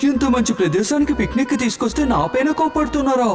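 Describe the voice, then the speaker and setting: An eager, touchy genie for a children's cartoon. The pitch is high and very mobile, with theatrical delivery over faint music. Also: male voice, animated, rapid-fire, darkish tone. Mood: offended. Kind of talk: Telugu cartoon dub acting.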